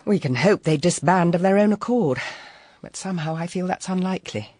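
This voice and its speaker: An actress playing an educated, composed 1930s London private detective, articulate and earnest, in a radio drama. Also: female voice, on the low side, lively intonation, fairly quick, darkish tone, no echo. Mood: worried, wry.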